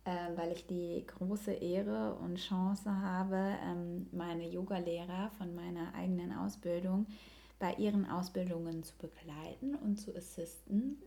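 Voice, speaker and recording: A woman's voice: lowish voice, fairly even intonation, measured pace, gravelly, mellow. A young woman chatting casually and reflectively as a podcast guest. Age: young adult. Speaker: podcast guest, yoga teacher and coach.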